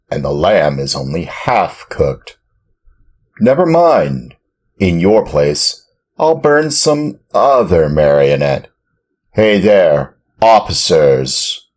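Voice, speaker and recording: A blustering, bearded puppet-theater director, put on by a man reading aloud barks his orders in a hoarse, booming voice. The voice sits low and moves at a slow, heavy pace. Every command comes out loud and bossy, as a storybook tyrant should. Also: exaggerated voice.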